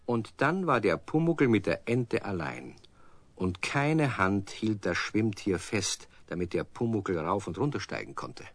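Low husky storyteller. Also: male voice, big pitch swings, measured pace, no room sound, exaggerated voice. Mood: storytelling. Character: storyteller narrating a children's tale. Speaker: narrator. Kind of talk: scripted storytelling narration.

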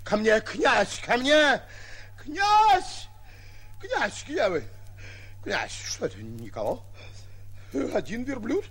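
An actor playing a boastful but cowardly lion hunter in a theatrical 1940s radio comedy, stammering when frightened. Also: male voice, extremely high pitch, sing-song, slow pace, pauses often, a bit bright, husky, heavily acted. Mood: frantic, wailing. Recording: old 1940s radio recording.

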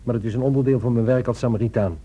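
Actor as guarded husband, mellow and husky. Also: male voice, medium pitch, steady tone, racing, close to an everyday voice. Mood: guarded, wry. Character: guarded, courteous husband keeping a confidence. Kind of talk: radio play acting.

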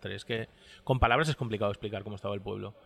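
A man's voice: fairly low pitch, racing, vocal fry, a bit bright. A man speaking casually and conversationally as an interview guest.

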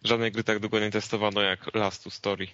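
A man's voice: on the low side, little pitch movement, brisk, fairly bright tone, a little hiss or hum. A man debating casually and insistently as a podcast panelist. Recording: dull lo-fi voice recording.

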